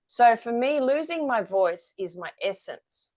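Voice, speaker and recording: A woman's voice: fairly high pitch, lively intonation, a little slow, a little mellow. A woman, a guest telling her story candidly in an interview. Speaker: guest, energy-work founder. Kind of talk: conversational interview.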